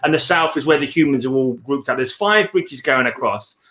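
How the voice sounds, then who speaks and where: A man's voice: medium pitch, moderate pace, bright, forceful tone, a little hiss or hum. A man chatting casually about a book, rambling as he thinks aloud. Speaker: read-along host.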